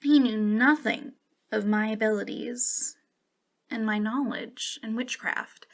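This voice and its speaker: A woman's voice: fairly high pitch, steady tone, slow pace, vocal fry, full character voice. A woman playing a centuries-old, youthful-looking witch in an ASMR roleplay, confiding coyly and hesitantly with a giggly, sinister playfulness. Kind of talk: ASMR roleplay monologue addressed to the listener.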